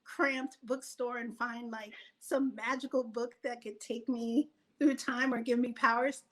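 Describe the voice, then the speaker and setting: A woman speaking as a guest writer in a casual interview, conversational and animated, thinking aloud as she goes. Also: a little high, unhurried.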